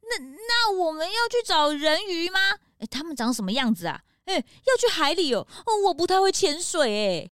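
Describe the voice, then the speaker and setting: A woman voicing an eager, bossy detective's sidekick who exclaims and scolds in an animated way. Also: extremely high pitch, normal speed, few pauses, dry recording, clean studio sound, full character voice. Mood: curious. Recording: music clearly under the voice.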